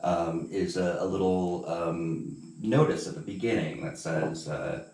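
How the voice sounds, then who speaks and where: A man's voice: low voice, a little slow, darkish tone. A man interviewing in a thoughtful, conversational way, articulate but searching for his words. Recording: echoey, distant mic.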